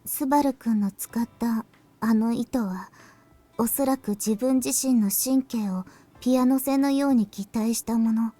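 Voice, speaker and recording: Mellow, calm analytical young woman played by an actress. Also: a little high, a little fast, close to an everyday voice. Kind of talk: Japanese visual novel voice acting.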